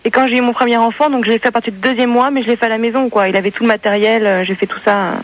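Guy doing a squeaky fast-talking lady caller, insists on her story, keeps going "quoi". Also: fairly even intonation, fairly bright tone, slight background noise, low-quality recording. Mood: chatty. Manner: insistent, defensive, trailing off with "quoi". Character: chatty female caller, ex-wife of gynecologist. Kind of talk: prank call-in banter.

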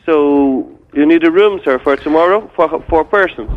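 A man's voice: fairly high pitch, a little slow, lightly acted. A man putting on a stiff hotel clerk on a tinny line. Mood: stiff, polite. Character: hotel receptionist faking American accent.

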